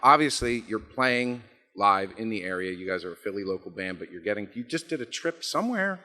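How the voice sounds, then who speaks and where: A man's voice: fairly low pitch, lively intonation, fairly quick, bright, forceful tone. A man hosting a casual interview, often repeating his phrases in affirmation. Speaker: interviewer, show host.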